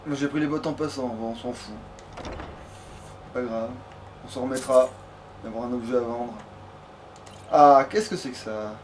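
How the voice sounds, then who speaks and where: A man's voice: medium pitch, normal speed, pauses often. A man giving casual, sweary let's play commentary, often muttering to himself as he plays. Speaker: let's player. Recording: clearly noisy background.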